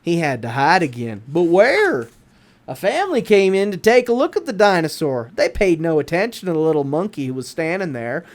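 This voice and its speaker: A man with a Southern US accent reading a children's story aloud expressively, with dramatic, deliberate emphasis. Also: on the high side, sing-song. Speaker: storybook narrator.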